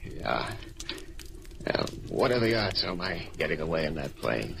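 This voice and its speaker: Double-crossed gangster, sardonic, tough slang, bitter, very noisy vintage radio drama. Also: male voice, extremely low pitch, very animated, a little slow, vocal fry, full character voice. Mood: resigned, wry. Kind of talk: 1940s radio noir acting. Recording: very noisy old radio broadcast recording.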